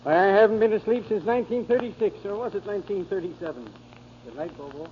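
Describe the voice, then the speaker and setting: A man's voice: high-pitched, quick pace, lightly acted. An actor playing a mild, talkative night watchman and homespun philosopher, genteel and wordy, in 1940s radio drama style. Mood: dryly amused. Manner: genteel, wordy, reflective phrasing. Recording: muffled, hissy 1940s radio broadcast recording.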